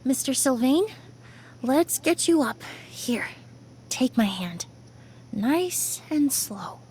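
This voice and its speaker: A calm young investigator speaks in soft, measured tones in a game scene. Her voice sits a little high, with a faint hiss under the studio take. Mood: composed. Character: calm young female investigator, gentle and composed. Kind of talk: video game dialogue.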